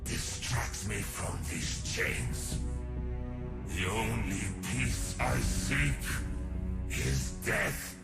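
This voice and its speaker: An actor playing a vengeful, sinister and tormented immortal demon warrior in a video game, with menacing, theatrical declarations full of angst. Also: male voice, on the low side, big pitch swings, extremely slow, creaky, full character voice. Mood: brooding menace. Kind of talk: video game character voice lines. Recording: game audio over loud music.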